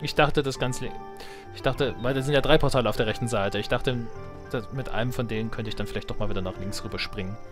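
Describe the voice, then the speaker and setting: A man's voice: medium pitch, quick pace, music in the background. A man giving casual let's play commentary as a gaming host, thinking aloud and addressing his viewers.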